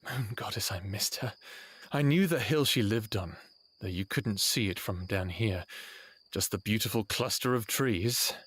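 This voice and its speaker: Man acting a husky, brooding, lovesick fighter. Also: on the low side, measured pace, a bit bright. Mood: longing. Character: battle-scarred, lovesick, brooding human man, wry and restless. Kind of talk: first-person audio drama narration and dialogue.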